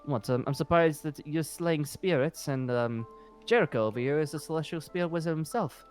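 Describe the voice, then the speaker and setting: A man's voice: fairly high pitch, brisk, darkish tone, soft background music, lightly acted. A man playing a polite, flustered, centuries-old dragon slayer guild leader in an improvised fantasy roleplay, hesitant and apologetic. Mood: curious.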